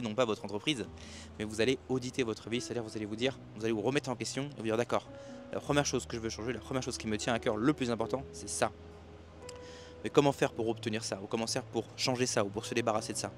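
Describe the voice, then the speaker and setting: A man giving a rambling motivational monologue to his viewers at night by the sea, with many restarts and fillers. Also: mid-range voice. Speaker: self-help and expat business YouTuber.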